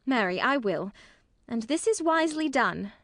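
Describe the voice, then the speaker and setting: A woman's voice: on the high side, very animated, normal speed, fairly bright tone, dry recording, clean studio sound. A woman playing a chatty, practical nursemaid in a Shakespearean dramatic reading, gossipy and persuasive. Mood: coaxing, gossipy. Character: chatty, practical nursemaid coaxing her young mistress.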